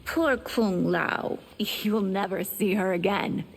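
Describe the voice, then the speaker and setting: An actress playing a cruel, mocking villainess in video game dialogue. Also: female voice, medium pitch, takes it slowly, vocal fry. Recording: hall reverb, faint background noise.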